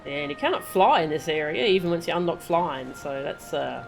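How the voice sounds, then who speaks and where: Woman, low voice, casual game streamer, relaxed chatty commentary, music in the background. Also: moderate pace, gravelly, rough recording. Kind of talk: casual live gameplay commentary.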